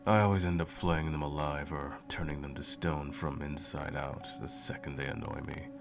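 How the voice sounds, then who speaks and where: Male actor as evil sorcerer, bottomless bass, heavy gravelly fry, theatrical menace, haughty cruelty, ASMR fantasy roleplay. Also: level tone. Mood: musing, cruel. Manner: slow, menacing, condescending, theatrical drawl. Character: cruel, smug, all-powerful evil male sorcerer, lonely. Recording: music playing under the voice. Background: music.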